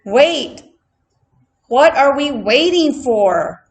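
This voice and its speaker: A woman voicing an eager female meadowlark in a storybook, chirping and excited. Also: sits high, extremely slow, pitched above the performer's own voice, heavily acted.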